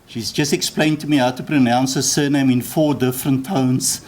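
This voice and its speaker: A man with a South African accent chairing a university ceremony, delivering a formal, measured welcome speech with occasional restarts. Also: mid-range voice, fairly even intonation, a little mellow, slight background noise. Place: university lecture hall.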